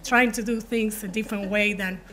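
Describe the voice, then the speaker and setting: A woman's voice: mid-range voice, fairly even intonation, a little fast, fairly bright tone, a little hiss or hum. Earnest woman from Argentina on a panel. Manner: earnest, personal storytelling. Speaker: alumni panelist. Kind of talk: panel introduction. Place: university event room.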